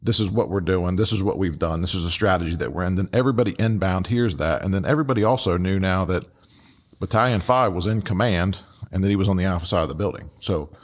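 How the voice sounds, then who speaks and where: Low-pitched man, halting casual podcast host. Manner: halting, with repeated words and hedging asides. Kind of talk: casual unscripted incident commentary.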